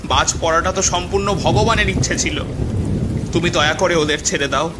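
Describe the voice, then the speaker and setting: A man's voice: on the high side, moderate pace, heavy background noise, natural voice. A man playing a frightened villager in a horror cartoon, anxious and beseeching before a ghost. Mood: pleading.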